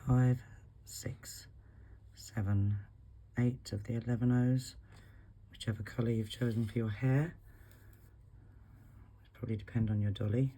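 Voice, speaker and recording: A man with a husky voice from a recent flu, calmly talking through a beading tutorial step by step while he works. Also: medium pitch, a little slow, long pauses. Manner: calm, counts beads aloud, talks while working. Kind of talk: step-by-step craft instruction.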